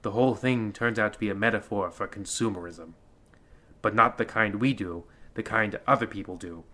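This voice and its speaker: An actor playing a theatrical, pompous host of an old-time horror radio show. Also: male voice, fairly low pitch, normal speed, bright, forceful tone. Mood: theatrically ominous. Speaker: radio play host narrator. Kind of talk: mock vintage horror anthology narration.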